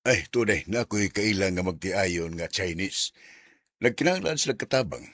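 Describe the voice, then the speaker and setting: A welcoming middle-aged uncle with a Visayan accent. His voice is fairly low, husky and dry, with a casual avuncular manner suited to radio drama. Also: animated, moderate pace, fairly bright tone, no echo. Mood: casual, helpful. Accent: Filipino, Visayan. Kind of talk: Filipino radio drama acting.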